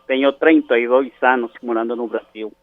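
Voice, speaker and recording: A man from Venezuela with a Spanish accent, a professor interviewed by phone, haltingly searching for words. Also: medium pitch, fairly even intonation, dry recording. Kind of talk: explanatory interview answers. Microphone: phone call. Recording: muffled phone line.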